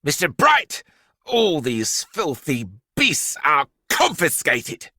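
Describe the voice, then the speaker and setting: A man's voice: on the high side, wildly swooping pitch, slow pace, pushed and bright, dry recording, studio quality, pitched above the performer's own voice, full character voice. A man voicing a bossy, disgusted headmistress who snaps imperiously, for a children's audiobook. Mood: outraged, bossy. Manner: imperious, snapping. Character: bossy, disgusted, bellowing headmistress, a grown woman.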